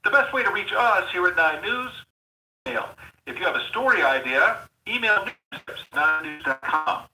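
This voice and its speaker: A man's voice: high-pitched, sing-song, moderate pace, far from the mic, music in the background. An American man reading a polished, formal recorded voicemail announcement for a news station, replayed from a phone. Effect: telephone playback. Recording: phone message replayed through speaker, roomy.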